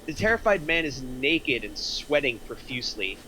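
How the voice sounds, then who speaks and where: A man's voice: medium pitch, sing-song, normal speed, bright, forceful tone, a little hiss or hum, rough recording. A man narrating stage directions from a script at an amateur online table reading.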